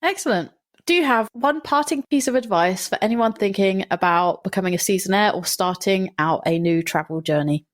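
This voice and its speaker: A woman's voice: mid-range voice, a little fast. A woman with a stuffy nose hosting a podcast, asking easygoing questions and reading the outro. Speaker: podcast host. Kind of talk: casual interview and scripted outro.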